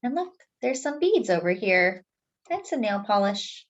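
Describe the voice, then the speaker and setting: A woman reading a picture book aloud to young children, expressive and deliberate, drawing out key words. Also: medium pitch, highs cut off. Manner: deliberate, with drawn-out emphasis for young listeners. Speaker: children's storyteller.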